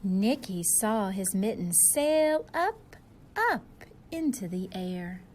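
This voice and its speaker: Slow-paced woman reading a children's storybook. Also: medium pitch, slight background noise. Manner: expressive, addressing young listeners with simple questions and asides. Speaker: teacher reading aloud to young children. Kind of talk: children's picture-book read-aloud.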